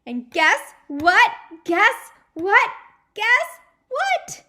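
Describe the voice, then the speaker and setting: A woman voicing an excitable, dramatic little girl from a children's storybook, with exclamatory, childish speech. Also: extremely high pitch, drawn-out words, pitched above the performer's own voice, exaggerated voice. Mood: thrilled. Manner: exclamatory, breathless, childish grammar. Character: excitable, dramatic little girl narrating her own adventures.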